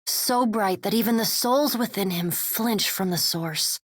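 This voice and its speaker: A woman's voice: mid-range voice, no room sound, close to an everyday voice. Woman as a solemn female fantasy narrator, dry sound. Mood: solemn awe. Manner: deliberate, literary, dramatic. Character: female storyteller narrating visions in solemn, vivid prose. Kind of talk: scripted fantasy game narration.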